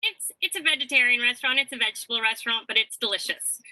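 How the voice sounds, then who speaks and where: A woman with a very bright, forceful voice testifies conversationally as a restaurant owner. She is direct and plain-spoken, and the sound is dry and close. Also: on the high side, fast, no echo, rough recording.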